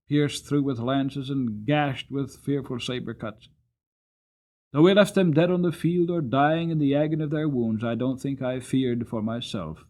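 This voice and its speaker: A man's voice: mid-range voice, a little mellow, lightly acted. A man voicing an old cavalry horse in a storybook, proud and earnest, recounting war with dramatic delivery. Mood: grave. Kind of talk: dramatic audiobook character reading.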